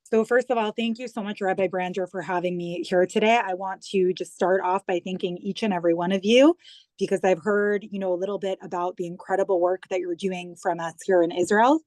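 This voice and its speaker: A woman's voice: medium pitch, fairly even intonation, fairly quick, darkish tone, no echo. An American woman, probably in her thirties, speaking as an interview guest over a video call. She talks earnestly and fluently, stressing her points and running through lists with ease. The sound is dry and close, with no room around her voice. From New York, United States. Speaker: guest, government digital communications head.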